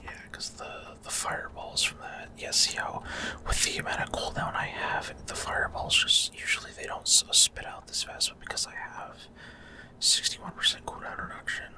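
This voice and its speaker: Whispering man, rambling game commentary. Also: moderate pace. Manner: rambling, hesitant, trailing off mid-thought. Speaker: gaming ASMR creator. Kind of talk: whispered ASMR gameplay commentary.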